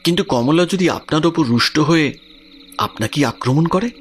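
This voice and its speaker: An actor playing a worried village man in an audio drama, earnest and expressive as he talks. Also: on the high side, normal speed, soft background music, natural voice. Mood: anxious, deferential. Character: worried village man recounting his cousin's frightening affliction.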